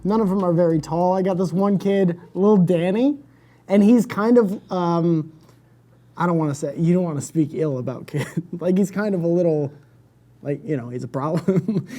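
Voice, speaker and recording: A man's voice: high voice, warm tone. A man improvising a casual phone chat as a prank caller, rambling, apologetic and self-deprecating. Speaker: prank caller on a comedy show. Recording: music playing behind.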